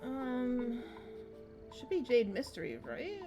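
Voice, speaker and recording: Slow, muttering female game streamer. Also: a little high, very animated, mellow. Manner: hesitant, muttering to herself. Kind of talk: casual reactions while playing a game. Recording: game music behind the voice.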